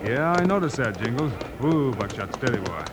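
Male actor's heroic Western lawman, medium pitch, firm, plain-spoken, very noisy old radio recording. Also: big pitch swings, normal speed, close to an everyday voice. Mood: steady. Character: steady, heroic cowboy lawman, calm and decisive. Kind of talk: 1950s radio Western acting.

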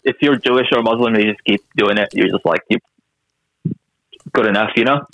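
A man's voice: lowish voice, fairly quick, a bit bright, poor audio. A young man from Syria, a podcast guest telling family stories with dry jokes in casual chat. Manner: dry, joking storyteller.

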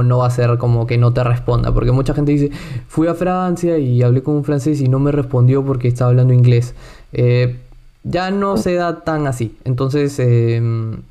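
A man hosting a casual chat, telling travel stories in an animated, joking way. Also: medium pitch. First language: Spanish. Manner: chatty, animated storyteller with playful asides.

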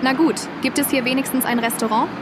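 A woman's voice: sits high, fast talker, background noise, natural voice. A woman playing a polite, curious traveller in a comic audio drama. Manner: polite, questioning.

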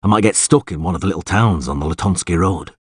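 A man voicing a terse, weary monster hunter with dry wit in an audiobook, speaking in a laconic, deadpan way. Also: low voice, quick pace, breathy edge, dry recording. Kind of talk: audiobook character acting.

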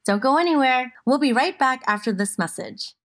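A woman's voice: high-pitched, expressive, moderate pace. A woman co-hosting a podcast, asking questions in an upbeat, laughing way that includes casual swearing. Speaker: podcast co-host. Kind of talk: casual interview chat.

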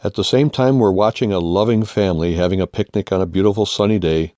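Low-voiced older American man reading. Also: quick pace, darkish tone, no room sound, studio quality. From United States. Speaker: author reading own humorous essay. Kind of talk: scripted humorous first-person narration.

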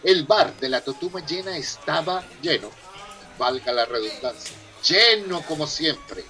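Higher-pitched mock-dramatic male narrator. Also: expressive, unhurried, bright, forceful tone. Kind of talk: theatrical scripted narration of a humorous radio soap. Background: music.

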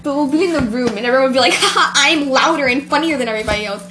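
A woman's voice: high voice, racing, noisy background. A teenage girl hosting a homemade podcast, rambling through a school story with lots of giggling. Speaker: podcast host. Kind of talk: casual chat.

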